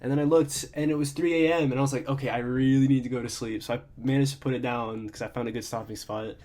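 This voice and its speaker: A man's voice: medium pitch, steady tone, fast talker, darkish tone. Congested young man rambling casually. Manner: rambling, many fillers and restarts. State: congested, slightly stuffy. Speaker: book reviewer. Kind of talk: casual off-the-cuff book review.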